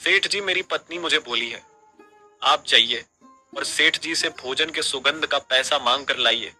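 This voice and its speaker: A man's voice: fairly high pitch, a little slow, pushed and bright, soft background music. A man playing a humble, dutiful servant and husband in a cartoon moral story, speaking earnestly and politely. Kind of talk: animated moral story dialogue.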